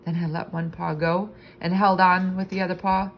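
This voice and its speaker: A woman reading a children's book aloud in an animated, expressive storytelling manner. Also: on the low side, normal speed, muffled recording, slight background noise.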